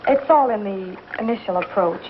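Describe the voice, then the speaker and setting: An actress playing a prim, gentle young schoolteacher in a 1950s television western, speaking politely and precisely. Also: female voice, medium pitch, moderate pace, a little hiss or hum, lightly acted. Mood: pleased, earnest. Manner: polite, proper, precise classroom diction. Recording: muffled old 1950s television soundtrack, some music.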